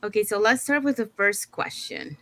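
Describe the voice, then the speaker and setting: A woman from Panama hosting an online interview show in an informal, somewhat halting way. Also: mid-range voice, expressive, pushed and bright, no room sound. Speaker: talk show host.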